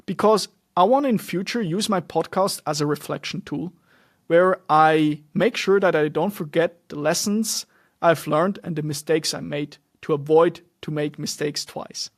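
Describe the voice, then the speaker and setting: A man's voice: on the high side, a little slow, no room sound, studio quality. A young man from Switzerland, speaking English as an in an earnest, loosely structured solo podcast monologue. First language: German. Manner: earnest, loosely structured, frequent restarts and fillers. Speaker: podcast host.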